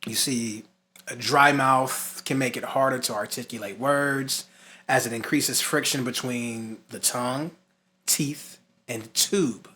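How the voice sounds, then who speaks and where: Bright-voiced man teaching a lesson. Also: mid-range voice, slow pace. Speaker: music instructor. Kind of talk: instructional lesson, scripted points with casual asides.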